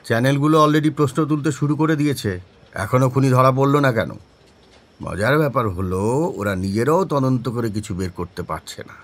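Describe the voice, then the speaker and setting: Casting: man as senior police chief, medium pitch, very varied intonation, dryly sardonic, audio drama. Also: lively intonation, normal speed, close to an everyday voice. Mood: dry, pressing. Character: skeptical, sardonic male police superior.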